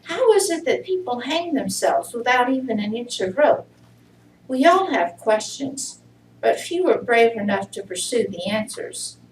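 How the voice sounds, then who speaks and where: Female poetry reader, unhurried and measured, heard from off the mic in an echoey church room. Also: a little high, sing-song. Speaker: congregation member reading inmates' poetry. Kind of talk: poetry recitation. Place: church service, indoor room. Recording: roomy, noisy pickup far from mic.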